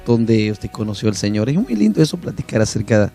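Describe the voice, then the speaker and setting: A man's voice: lowish voice, sing-song, brisk, creaky, warm tone, soft background music. A man hosting a church interview program, deferential and effusive toward his guest. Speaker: interview host. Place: church media studio.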